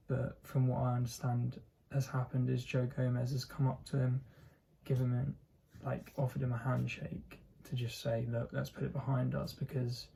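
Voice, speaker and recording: A man's voice: mid-range voice, vocal fry, warm tone, slight background noise, poor audio. A young man with a posh southern English accent hosting his own football channel, talking casually and hesitantly with lots of fillers and restarts. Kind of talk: casual solo commentary.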